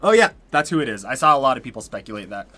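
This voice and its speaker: A man with a tired, hoarse voice, worn down by a cold, chatting casually on a livestream. He rambles, fills pauses with ums and trails off before finishing thoughts. His pitch sits at an ordinary middle for a man. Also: very animated, bright, forceful tone. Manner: rambling, many ums and restarts. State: losing his voice, a little sick. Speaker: co-host of a livestream Q&A.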